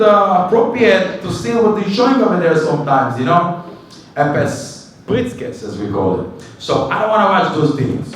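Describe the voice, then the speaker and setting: A rabbi teaching a weekly Torah class to a live group, animated and joking, now and then breaking into short chanted tunes. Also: male voice, mid-range voice, slight background noise. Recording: echoey hall, mic from a distance.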